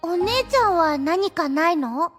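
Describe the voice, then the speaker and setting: A bashful, gentle small child of anime audio drama. The voice is tiny and extremely high, hesitant and apologetic in delivery. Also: female voice, lively intonation, measured pace, music in the background. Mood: timid. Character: timid, meek young child, gentle younger sibling.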